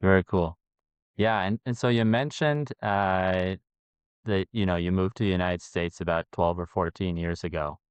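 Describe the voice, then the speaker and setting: A man's voice: deep voice, steady tone, normal speed, smooth, no room sound. A man hosting a podcast, asking casual questions that ramble and restart. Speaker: podcast host.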